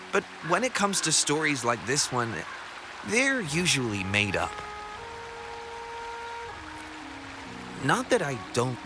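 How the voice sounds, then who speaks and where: A man's voice: medium pitch, lightly acted. A man playing a chatty, wry townsman in a video game, telling stories conversationally over music. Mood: casual, curious. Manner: conversational, bemused, storytelling. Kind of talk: video game character dialogue.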